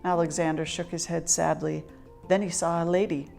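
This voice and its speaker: A woman reading a children's picture book aloud as an expressive, dramatic storyteller. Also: on the low side, moderate pace, creaky, faint music behind. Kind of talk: expressive scripted story narration.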